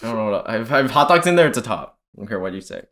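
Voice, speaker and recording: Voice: medium pitch, sing-song, rapid-fire, a bit bright. A young adult American podcast co-host in casual chat, rambling and playful with many restarts.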